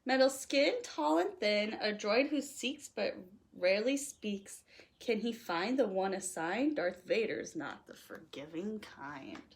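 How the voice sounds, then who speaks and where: A woman reading a rhyming children's picture book aloud in an expressive, playful, sing-song way. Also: medium pitch, unhurried. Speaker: storytime reader.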